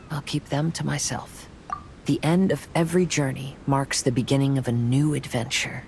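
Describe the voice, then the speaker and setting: A man voicing a gentle, reflective young wandering swordsman in a video game, soft-spoken, calm and polite. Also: medium pitch, creaky, slight background noise, rough recording. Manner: calm, polite, measured phrasing. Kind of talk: video game character voice acting.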